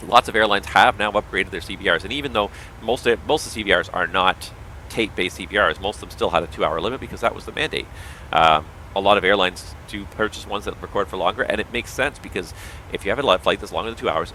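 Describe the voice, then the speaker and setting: Male streamer, pilot; low voice, quick delivery, strained and tiring, explanatory and opinionated. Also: bright, forceful tone, a little hiss or hum, rough recording. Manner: explanatory, opinionated, talks in long running thoughts. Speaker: livestreamer and pilot. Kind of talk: casual livestream commentary.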